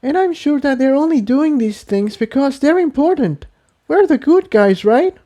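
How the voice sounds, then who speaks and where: A man's voice: extremely high pitch, animated, moderate pace, dark tone, highs cut off, voice pushed up. A man voicing a timid, earnest young female pony in a fantasy story, speaking in an anxious, pleading way. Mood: anxious, reassuring.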